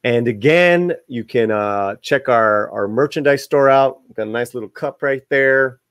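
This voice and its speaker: Casting: male video host, mid-pitched, reads articles aloud and comments casually, tripping on words, dry recording. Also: a little slow, a bit bright, clear, resonant tone, dry recording. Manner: stumbles over words while reading; informal asides to viewers.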